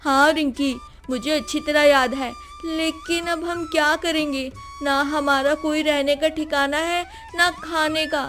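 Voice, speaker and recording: A woman voicing a poor, worried orphan boy in an animated moral story, plaintive and questioning. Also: high-pitched, measured pace, few pauses. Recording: music behind the voice.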